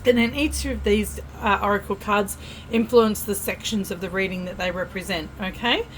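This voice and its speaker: An Australian woman giving a casual tarot reading to camera, chatty and friendly, often pausing with fillers and restarting. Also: medium pitch, slight background noise, low-quality recording. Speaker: tarot reader.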